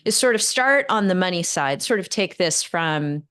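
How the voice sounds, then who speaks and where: A woman's voice: lowish voice, expressive, normal speed, fairly bright tone, smooth. A middle-aged woman from Northern California hosting an interview, talking in long rambling turns full of fillers and restarts. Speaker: podcast host. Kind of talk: interview conversation.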